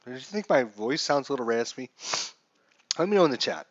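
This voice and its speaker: A man streaming casual play-by-play commentary of a baseball game, with announcer-like calls and pauses. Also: mid-range voice, lively intonation, no room sound, muffled recording. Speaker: live streamer, host.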